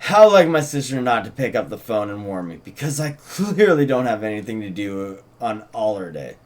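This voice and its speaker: A man's voice: lowish voice, big pitch swings, normal speed, voice pushed down. A man playing a wry, doting old-soul witch. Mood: dry, wry.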